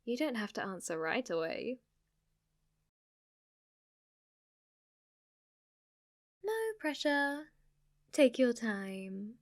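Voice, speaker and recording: A woman's voice: on the high side, animated, measured pace. A woman playing a smug, clingy, lovestruck witch in an ASMR roleplay, teasing and coy as she speaks to the listener. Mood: playful, eager. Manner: teasing, coy, overconfident, with giggles and asides.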